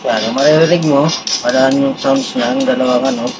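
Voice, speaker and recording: A man with a Filipino accent giving a casual, halting unboxing review with a gravelly voice. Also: a little high, over music. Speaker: vlogger doing a product unboxing and test. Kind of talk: casual unboxing review, talking while handling items. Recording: clearly noisy, steady background hum.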